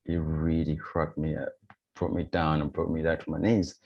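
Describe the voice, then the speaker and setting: A man's voice: rumbling low, little pitch movement, moderate pace, creaky, dull audio. A man born in Uganda, a podcast guest telling a personal story in a reflective, hesitant way with many fillers. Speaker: podcast guest, running coach. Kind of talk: conversational storytelling.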